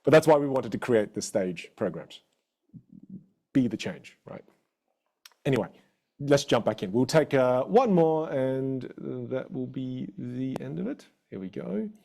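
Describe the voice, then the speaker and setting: Australian man, communication coach, lively swooping pitch, warm mellow tone, encouraging. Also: measured pace, vocal fry. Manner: energetic, encouraging, animated. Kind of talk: live online coaching session.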